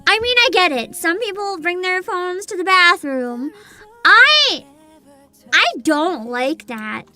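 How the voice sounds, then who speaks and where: A woman livestreamer chatting casually with her viewers in an animated, exclamatory way. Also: extremely high pitch, slow pace. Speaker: livestream host, VTuber. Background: music.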